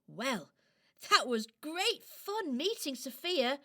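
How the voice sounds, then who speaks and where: An eager little living toy from a children's radio play, acted by a woman. The voice is high and takes its time, with a brave, cheerful, girlish temper. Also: very animated, slow, sharp tone, heavily acted. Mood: cheerful, hopeful.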